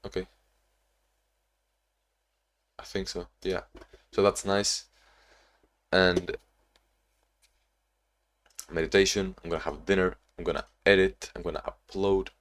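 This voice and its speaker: A young man talking in a casual, reflective diary-style vlog, halting with frequent restarts and sounding a bit sleepy. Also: sits low, little pitch movement, a bit bright. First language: not English; Portuguese not first language either. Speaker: vlogger.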